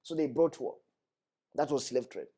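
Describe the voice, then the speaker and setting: Young Congolese-born man, guest voice, passionate and emphatic, middle-pitched. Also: animated, normal speed, dark tone. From Democratic Republic of Congo. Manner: passionate, emphatic, repeats key phrases. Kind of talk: earnest discussion.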